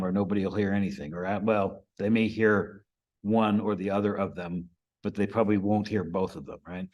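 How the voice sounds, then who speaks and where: An American man giving an informal instructional presentation to a group, conversational, often checking in with short questions. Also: on the low side. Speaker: presenter, amateur radio instructor.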